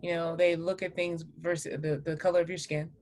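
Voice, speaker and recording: A middle-aged woman speaking as a panelist in an online discussion, measured, earnest and emphatic, checking in with her listeners. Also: on the low side, level tone, darkish tone, slight background noise. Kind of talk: spoken reflection in a live online discussion. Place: video call webinar.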